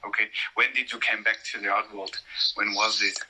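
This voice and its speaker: Man hosting a live stream, low-ish bright voice, hesitant with fillers, video call. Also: steady tone, normal speed, breathy edge, poor audio. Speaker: host of collector talks.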